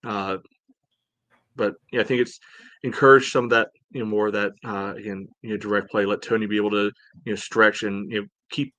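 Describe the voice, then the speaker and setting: A man with a fairly low voice talks sport as a podcast co-host. He is casual and halting, padding his phrases as he goes, and the sound is dry and close. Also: little pitch movement, sharp tone, no echo. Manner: halting, peppered with "you know". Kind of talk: casual sports analysis chat.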